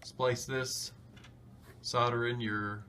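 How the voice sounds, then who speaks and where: A man's voice: medium pitch, level tone, extremely slow, sharp tone. A man hosting a DIY tutorial, giving a casual, plain-spoken hands-on walkthrough in an easygoing way. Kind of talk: casual hands-on how-to narration. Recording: clearly noisy home video sound.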